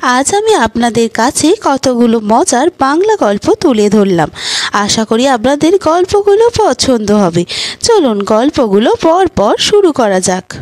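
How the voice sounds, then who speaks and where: A woman with a fairly high voice narrates short moral tales for children. Her pace is very slow and deliberate, and she delivers each line as scripted storytelling. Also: big pitch swings, darkish tone. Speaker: storyteller and channel host.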